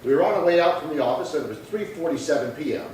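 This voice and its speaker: A man playing a terse, deadpan police detective sergeant in a 1950s-style radio crime drama, clipped and businesslike. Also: on the high side, racing, lightly acted. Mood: matter-of-fact narration. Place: live theatre performance space. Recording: very echoey hall, distant, faint noise.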